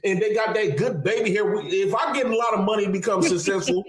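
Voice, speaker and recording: A woman's voice: mid-range voice, quick pace, reverberant, a little hiss or hum, lightly acted. Woman mocking a smug male troll. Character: smug insulting male troll on a live stream.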